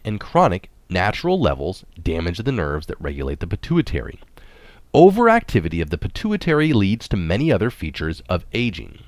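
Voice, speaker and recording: A man reading a health article aloud in a measured, explanatory manner, sometimes adding his own notes. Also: deep voice, no room sound.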